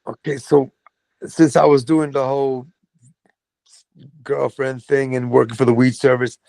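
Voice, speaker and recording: A middle-aged man from Brooklyn with a New York accent, telling profane, animated stories as a guest on a podcast. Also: medium pitch, unhurried, vocal fry, no echo, low-quality recording. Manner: profane, animated storytelling with voiced quotes. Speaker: guest, metal vocalist. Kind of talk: casual storytelling.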